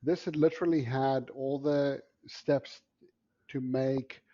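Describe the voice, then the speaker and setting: A South African man in his forties, telling personal stories casually as an interview guest, often pausing mid-phrase. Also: mid-range voice, fairly even intonation, mellow, muffled recording. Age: 40s. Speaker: podcast guest, entrepreneur.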